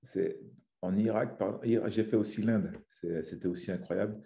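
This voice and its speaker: Deep, dark-voiced man on a video call. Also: fairly even intonation, moderate pace, vocal fry. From French Guiana. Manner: rambling with many restarts and fillers. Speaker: podcast guest, fitness influencer. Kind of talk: casual video-call interview. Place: small room at home. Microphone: webcam on a video call.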